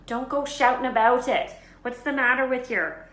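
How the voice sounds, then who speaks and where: A woman's voice: on the high side, normal speed, a bit bright, echoey room, pitched above the performer's own voice, lightly acted. A woman voicing a secretive male gamekeeper with furtive, folksy speech in a children's storybook. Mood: shifty, hushing. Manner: furtive, dropped-consonant folksy talk. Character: big, shifty, secretive male gamekeeper, gruffly friendly.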